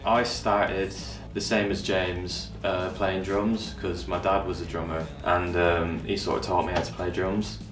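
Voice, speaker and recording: A twenty-two-year-old man, recounting things relaxed and hesitantly in an interview. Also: sits low, unhurried, few pauses. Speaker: band's bass player. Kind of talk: documentary interview. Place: band practice room. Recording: echoey room, far from mic, music behind.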